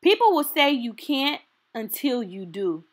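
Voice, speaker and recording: Slow, lilting high-voiced woman reading aloud. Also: very animated. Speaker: storytime host reading aloud. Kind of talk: children's picture book read-aloud.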